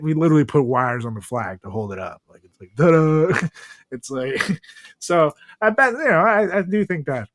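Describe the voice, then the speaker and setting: A man hosting a podcast in casual, joking chat, rambling loosely and swearing freely. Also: medium pitch. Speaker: podcast host.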